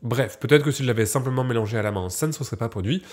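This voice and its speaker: A man's voice: mid-range voice, racing, darkish tone. A young man with a head cold, casually explaining his home film developing with many fillers and restarts. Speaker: film photography youtuber. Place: home darkroom corner, then a dim studio room. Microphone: camera mic, then a studio mic close up.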